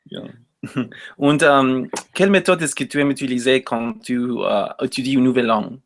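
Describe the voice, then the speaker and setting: A man's voice: medium pitch, normal speed, a little hiss or hum. A man hosting a casual interview, asking simple questions and repeating answers back. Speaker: interviewer, host.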